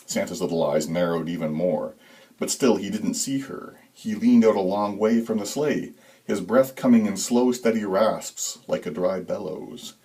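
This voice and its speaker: A man narrates a story in a fairly low voice. His delivery is deliberate and dramatic, with expressive emphasis that builds suspense. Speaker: author reading own story.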